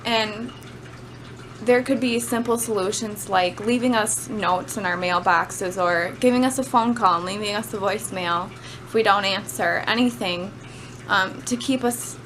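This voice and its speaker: A woman's voice: medium pitch, steady tone, measured pace, fairly bright tone. A woman giving an informal student speech about personal experience, speaking in a conversational, somewhat hesitant way. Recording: clearly noisy amateur camera recording.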